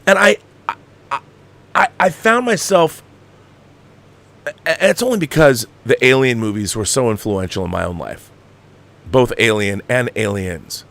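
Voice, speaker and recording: A man's voice: mid-range voice, lively intonation, unhurried, vocal fry, pauses often, fairly bright tone. A man hosting a film commentary show, giving an opinionated, exasperated and emphatic review peppered with swearing. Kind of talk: opinionated movie review rant.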